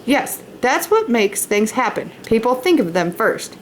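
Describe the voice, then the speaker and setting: A woman's voice: on the high side, very animated, unhurried. Storybook frontier dad read by a woman, patient, plainspoken, encouraging, on a slightly noisy recording. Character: kindly, thoughtful pioneer father.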